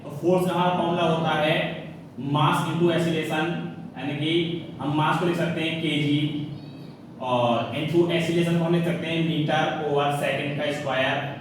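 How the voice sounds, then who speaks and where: A man with an Indian accent lectures in a very echoey room, picked up from a distance. He speaks slowly in a fairly high voice, going step by step, often asking a question and then answering it himself. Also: fairly even intonation. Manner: repeats himself, asks questions and answers them. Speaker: physics teacher. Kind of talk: classroom lecture, explaining formulas step by step. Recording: very echoey room, distant mic, faint noise.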